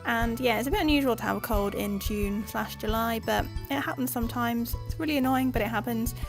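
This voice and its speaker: A woman hosting an art channel, narrating in a chatty, self-deprecating voice-over, croaky as she gets over a cold. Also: on the high side, a little fast, faint music behind.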